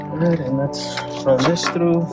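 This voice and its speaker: A man's voice: a little high, steady tone, measured pace. A middle-aged man chatting informally and crudely as he works with his hands. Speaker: host of an off-road vehicle install channel. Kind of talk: casual hands-on chat while working. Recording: music playing behind the voice. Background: music.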